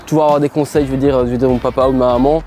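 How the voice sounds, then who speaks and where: A man from Belgium giving a halting testimonial, often repeating himself. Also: mid-range voice, steady tone, dark tone, faint music behind. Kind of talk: promotional testimonial.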